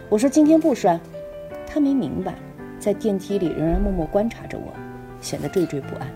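A woman narrates literary prose in a medium-pitched voice with very animated intonation. It is a scripted podcast reading, with music clearly playing behind her. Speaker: podcast host.

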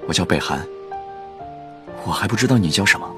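A composed young gentleman of the upper class, acted by a man in a radio drama. His voice is low and his delivery measured and considerate. Music plays clearly behind him. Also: lively intonation. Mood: calm, wistful.

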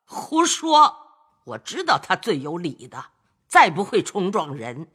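A man's voice: high voice, huge pitch swings, measured pace, bright, forceful tone, husky, pitched above the performer's own voice, heavily acted. A man voicing an elderly noble matriarch who scolds and commands her grandson, in a storytelling reading. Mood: scolding. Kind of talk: audiobook storytelling of a classic novel.

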